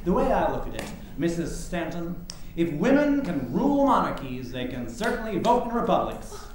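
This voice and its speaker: A man's voice: fairly high pitch, big pitch swings, normal speed. An actor playing a grandiose, self-assured, formal male orator in a staged play reading. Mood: boastful. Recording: echoey theater, faint noise.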